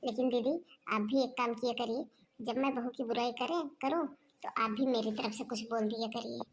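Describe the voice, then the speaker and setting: A woman's voice: on the high side, steady tone, moderate pace, close to an everyday voice. Woman as older mother-in-law, bossy, scolding, talkative, homemade family skit, light hiss behind. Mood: explaining.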